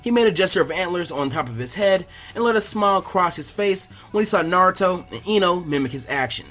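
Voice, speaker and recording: A man's voice: high-pitched, lively intonation, brisk, pushed and bright. A man reading scripted fan fiction narration as a storyteller. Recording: music behind the voice.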